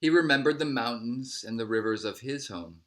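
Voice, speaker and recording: A man reading a picture book aloud as a narrator, in measured phrases that settle at the ends. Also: medium pitch, a bit bright, a little hiss or hum. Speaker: storybook reader.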